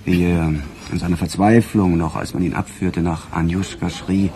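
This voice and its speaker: An actor playing a sardonic, relentless police inspector in a radio crime play, with an ironic storytelling manner. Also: male voice, low voice, steady tone, normal speed, warm tone, slight background noise. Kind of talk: German radio drama dialogue.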